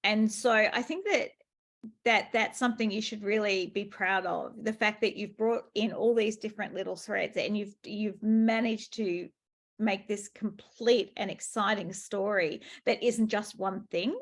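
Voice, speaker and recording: A woman's voice: medium pitch, steady tone, unhurried. A woman hosting a conversational book interview, effusive and emphatic in her delivery. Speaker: podcast host interviewing an author.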